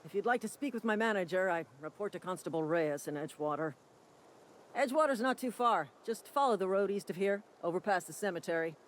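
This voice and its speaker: An actor playing a bumbling, officious corporate town guard in a video game, with a folksy manner that tips into apology. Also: male voice, high-pitched, lively intonation, a little fast, fairly bright tone, a little hiss or hum. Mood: flustered, apologetic. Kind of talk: video game character acting.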